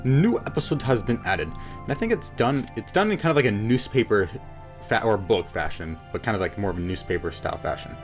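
A man's voice: lowish voice, expressive, brisk. A man, giving casual, rambling gameplay commentary with wry asides. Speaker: gamer narrating a playthrough. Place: indoor room at home. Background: game music.

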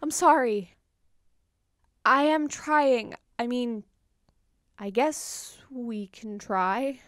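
An actress playing a weary, hesitant insect girlfriend in an animated film, speaking in a reluctant, understated, natural way. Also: fairly high pitch, extremely slow, no echo, studio quality.

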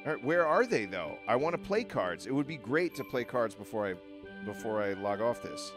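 A man's voice: medium pitch, big pitch swings, normal speed, music in the background. A man giving casual gameplay commentary in a chatty, rambling way, thinking aloud as he plays. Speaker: video game player commentating.

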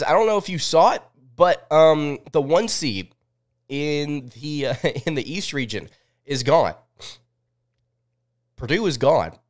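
A man in his twenties with a cold, hosting a sports podcast in a casual, informal way, thinking aloud into a close desk microphone. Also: mid-range voice. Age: 20s. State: sniffly with a cold. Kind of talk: casual live sports recap chat. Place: small indoor home studio.

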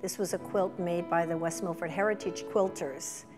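A middle-aged woman gives a documentary interview in a fairly low voice. The room leaves a long reverb, and instrumental music plays clearly underneath. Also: fairly even intonation, moderate pace. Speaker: museum chairperson, interviewee. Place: indoors, in a museum.

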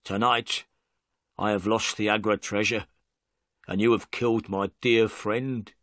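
A man voicing a low, slow, defeated prisoner. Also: little pitch movement, a bit bright, no room sound, studio quality. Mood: resigned. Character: bitter middle-aged male prisoner, defeated.

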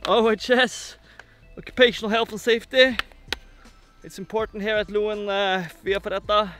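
A man's voice: high voice, fairly even intonation, moderate pace, a bit bright, soft background music. Upbeat young man vlogging outdoors. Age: young adult. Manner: upbeat, joking, narrating to camera. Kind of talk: casual travel vlog chat. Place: outdoors by a fjord and on a mountain. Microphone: camera held at arm's length.

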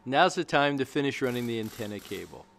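A man's voice: medium pitch, lively intonation, fast talker, creaky, a bit bright. A man giving scripted how-to narration as the narrator of a DIY video, explaining plainly step by step.

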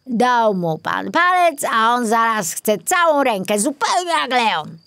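A protective little forest gnome from a children's tale, put on by a woman. He sounds fussy and knowing, pitched high, with animated swoops in his speech. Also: unhurried, vocal fry, exaggerated voice. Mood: chiding.

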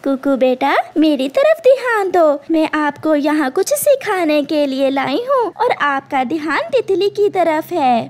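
A woman voicing a high-pitched, gentle but firm mother bird. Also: very animated, a little slow, hardly any pauses. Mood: gently chiding.

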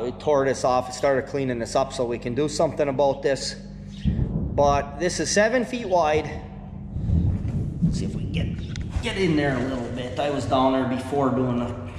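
Middle-aged farmer, rambling plainspoken storyteller, a bit high-voiced, in a barn with a faint hiss. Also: male voice. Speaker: farmer vlogger. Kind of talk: casual walkthrough narration. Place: inside a large old wooden barn. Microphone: action camera, held or set nearby.

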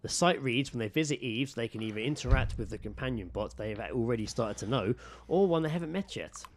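A man's voice: medium pitch, animated, fast. A British man co-hosting a podcast, casually reading out odd news with jokey, sweary asides and ready laughter. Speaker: podcast co-host.

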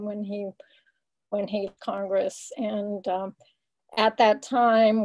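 Older woman, halting and even-toned. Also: mid-range voice, poor audio. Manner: halting, searching for words. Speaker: guest speaker, peace advocate. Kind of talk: informal explanatory presentation. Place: small home room, indoors. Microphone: computer webcam on a video call.

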